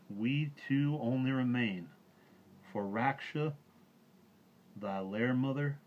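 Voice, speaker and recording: A man's voice: fairly low pitch, muffled recording, voice pushed up. A man voicing a wise old male bear teacher, speaking with grave, formal old-fashioned gravity.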